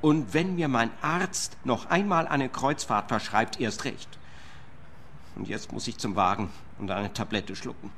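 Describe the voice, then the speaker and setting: An actor playing a fragile, fretful client in a German-language radio play, brittle, fussy and self-pitying. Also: male voice, mid-range voice, a little slow, a bit bright, slight background noise. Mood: overwrought. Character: fragile, fretful male client, pill-dependent house owner.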